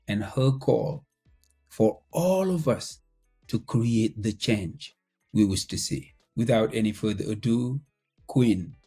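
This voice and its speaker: A man hosting a podcast, introducing a guest in a measured, formal way and then asking her questions. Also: lowish voice, warm tone, slightly rough. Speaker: podcast host.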